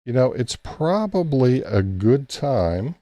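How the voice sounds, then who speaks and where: A man's voice: mid-range voice, very animated, takes it slowly, warm tone, no echo. A man hosting a casual late-night ham radio live stream, rambling easily and thanking chat members by name.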